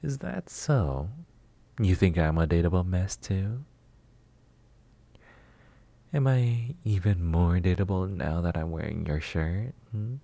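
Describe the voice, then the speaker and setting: Man playing a husky, slow-talking flirty neighbor. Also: sits low, expressive, warm tone, exaggerated voice. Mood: flirty, teasing. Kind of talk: intimate roleplay ASMR.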